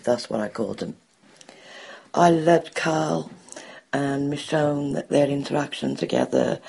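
A woman's voice: extremely low pitch, gravelly, warm tone, slightly rough. A woman from the UK leaving a blunt, playful voicemail for a podcast. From United Kingdom. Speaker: voicemail caller. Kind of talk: recorded voicemail review.

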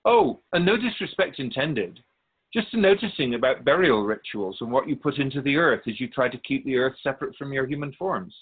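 A man playing a wise otherworldly keeper of souls in a radio drama, speaking in a measured, philosophical, instructive way. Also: medium pitch, lively intonation, slightly rough, phone-quality sound. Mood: gently probing. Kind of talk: radio drama dialogue in interview form.

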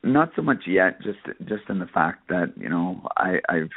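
A man giving casual interview answers as a country singer, halting and often saying "you know". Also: deep voice, big pitch swings, gravelly, dry recording. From Nova Scotia, Canada. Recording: phone-like narrow radio line, dry.

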